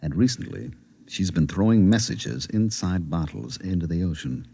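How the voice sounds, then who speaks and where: A deep, husky security chief. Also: male voice, normal speed, mellow, full character voice. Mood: official, persuasive. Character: official, pressing male government security chief. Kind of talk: 1950s radio drama acting.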